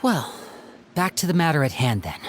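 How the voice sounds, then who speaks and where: A man's voice: on the high side, very animated, normal speed, a little hiss or hum. A man playing an earnest, protective young older brother in a dramatic game scene, articulate and courteous. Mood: businesslike, earnest.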